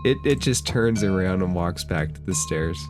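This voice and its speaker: A man's voice: low voice, animated, normal speed. A man narrating a tabletop roleplaying game as game master, with music behind him.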